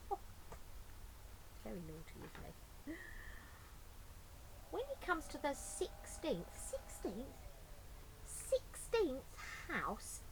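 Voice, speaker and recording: A woman's voice: sits high, low-quality recording. A woman speaking informally and expressively as a storyteller for children, recorded inside a greenhouse. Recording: clear ambient background noise.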